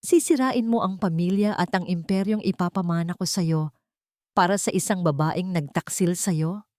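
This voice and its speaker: A woman's voice: on the low side, normal speed, a little mellow, no room sound, lightly acted. Woman doing a cold older matriarch, controlled, cutting, dry close voice. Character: scheming wealthy older matriarch, cold and icy.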